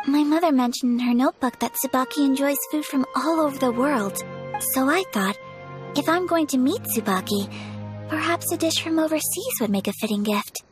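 An actress playing a polite, gentle young noblewoman in a video game, speaking formally and softly. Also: high-pitched, expressive, normal speed. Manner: polite, formal, soft-spoken. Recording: game audio with faint music.

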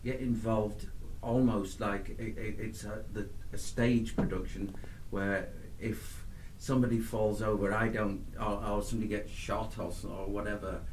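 Sound producer, low voice, earnest and stumbling, in a roomy space. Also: male voice, level tone, moderate pace, few pauses. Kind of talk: casual interview chat. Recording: roomy echo, faint noise.

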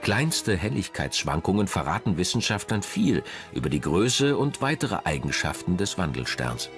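A man reading a scripted documentary narration in a measured, dramatic manner. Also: low-pitched, very animated, music in the background.

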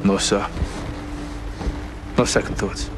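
An actor playing an earnest, uneasy young British army sergeant in a video game, speaking in a clipped, questioning way with a British accent. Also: male voice, slow pace, loud music behind the voice, close to an everyday voice. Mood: troubled. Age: young adult. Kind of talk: cinematic video game acting.

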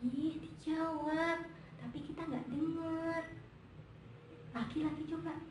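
A young woman, teaching children in an animated, teacherly storytelling style in an echoey room. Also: very high voice, takes it slowly. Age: young adult. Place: indoor room, plain wall, medium camera distance. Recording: echoey room, distant mic, faint noise.

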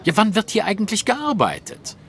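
A haughty, swooping detective. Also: male voice, high voice, huge pitch swings, moderate pace, a little hiss or hum, full character voice. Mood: indignant. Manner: precise, formal, lecturing. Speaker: voice actor in an audio drama. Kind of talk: German audio drama acting.

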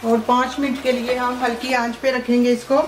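A woman hosting a home cooking video, giving plain, unhurried step-by-step recipe instructions with short pauses between ingredients. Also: a little high, level tone, noisy background. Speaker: cooking show host. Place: home kitchen. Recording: echoey room with background music.

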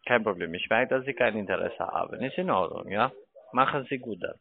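A man speaking as a non-native, politely and haltingly, on an unscripted sales call. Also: lowish voice, gravelly. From Edinburgh, Scotland. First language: not native German speaker. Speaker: cold-calling phone salesman. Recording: narrow tinny phone line.

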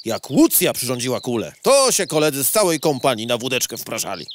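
A man's voice: medium pitch, big pitch swings, a little fast, a little hiss or hum, natural voice. Man playing a courtly old widowed beetle officer. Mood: mournful. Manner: formal, old-fashioned courtesy. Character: elderly widowed beetle, retired cavalry captain, courtly and sentimental. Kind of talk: audio comedy drama acting.

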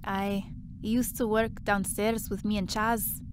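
A woman's voice: medium pitch, little pitch movement, slow pace, a little hiss or hum, lightly acted. A woman playing a breezy, blunt young part-time worker in an audio drama, chatty and offhand. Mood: chatty, hesitant.

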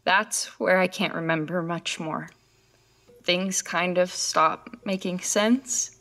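A woman playing a frightened, traumatised captive in an audio horror drama, halting and pleading. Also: on the low side, fairly even intonation, fairly bright tone. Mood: shaken recollection.

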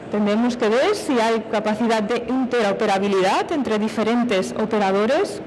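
A woman's voice: a little high, a little hiss or hum, poor audio. A middle-aged woman presenting technical material at a conference, explaining step by step and posing questions to answer. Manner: explanatory, with self-posed questions. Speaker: conference speaker from industry. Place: on stage at a conference, filmed from a distance.

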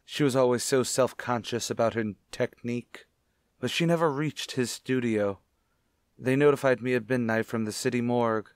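A man acts a widowed father in an old-fashioned radio drama. He sounds anguished, self-pitying and theatrical, in a mid-pitched voice. The sound is close and dry. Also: normal speed, no echo, natural voice. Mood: grieving, evasive. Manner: melodramatic, distraught. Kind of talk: old-time radio play acting.

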